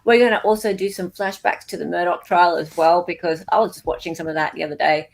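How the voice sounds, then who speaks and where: A woman with a slightly low voice co-hosts a live talk show. She speaks in casual panel chat, loose and conversational. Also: fairly even intonation, fast talker, vocal fry, poor audio.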